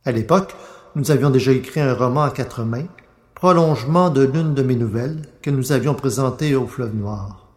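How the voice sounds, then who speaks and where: A man's voice: mid-range voice, normal speed, a little mellow. A man hosting a podcast, reading a presentation aloud in a roomy recording. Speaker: podcast host. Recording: roomy sound with faint music.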